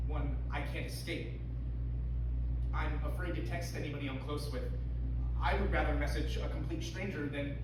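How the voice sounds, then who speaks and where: A man playing an anxious, self-deprecating playwright in a halting, confessional stage monologue, recorded live at a reading. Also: fairly high pitch, close to an everyday voice. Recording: roomy, distant, noisy live theater recording.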